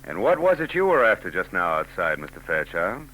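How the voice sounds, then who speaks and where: An actor playing a wry, hard-boiled private eye with a dry, sardonic manner in 1940s radio drama. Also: male voice, sits low, sing-song, a little fast, bright, forceful tone, exaggerated voice, rough recording. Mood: suspicious, sardonic. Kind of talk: 1940s radio drama acting and first-person narration. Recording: 1940s radio recording with music.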